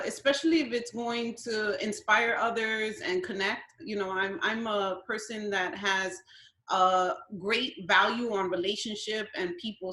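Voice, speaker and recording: A woman raised in Brooklyn whose was Spanish, speaking earnestly on a video call and pausing to search for words. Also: mid-range voice, fairly bright tone, roomy sound. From Brooklyn, New York. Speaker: guest.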